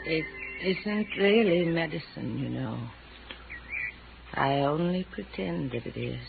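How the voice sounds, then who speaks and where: A woman's voice: sits low, animated, takes it slowly, vocal fry, music in the background, heavily acted. An actress in a 1950s radio drama playing a weary, drink-numbed invalid mother, vague, genteel and apologetic. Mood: bitter, vague. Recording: noisy, muffled 1950s radio recording.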